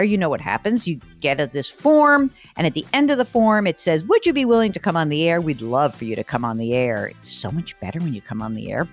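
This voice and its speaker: Animated low-voiced woman money-show host. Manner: chatty, emphatic, animated. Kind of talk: conversational radio podcast talk.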